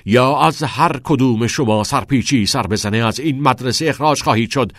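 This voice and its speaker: A man voicing a furious, domineering school headmaster who shouts commands in an audiobook. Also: medium pitch, no echo, studio quality, lightly acted. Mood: furious, shouting. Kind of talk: audiobook character voice.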